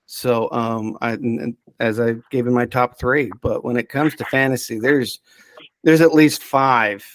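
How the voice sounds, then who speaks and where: A man's voice: mid-range voice, fairly even intonation, unhurried, fairly bright tone, no echo. A man talking casually as a podcast expert, often hesitating and restarting his thoughts as he rambles. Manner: hesitant, rambling, self-interrupting. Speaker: podcast subject-matter expert. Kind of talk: casual chat.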